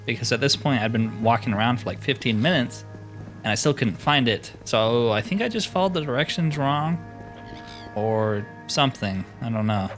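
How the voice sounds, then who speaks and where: A man's voice: mid-range voice, animated, normal speed. A man talks casually as he plays a fantasy roleplaying game, commenting on things as they come. Music plays behind his voice. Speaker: host of a video game roleplay series.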